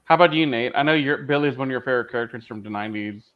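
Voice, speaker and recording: A man on a slightly dull, lo-fi microphone talks as a podcast co-host. His mid-pitched voice lays out each point deliberately, with emphasis on key words, in relaxed fan-review conversation. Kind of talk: casual fan review discussion.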